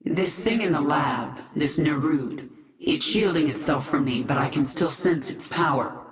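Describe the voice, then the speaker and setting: A woman's voice: deep voice, unhurried, crowd noise. A woman playing a grim, vengeful queen of an alien swarm in a video game cutscene, terse and intense. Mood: grim, commanding. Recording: roomy echo with game music behind.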